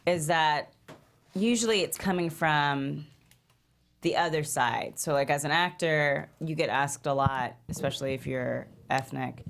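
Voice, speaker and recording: A woman's voice: low voice, a little slow, creaky, slight background noise. A woman actor talking casually in a panel discussion. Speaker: actor on a table read panel.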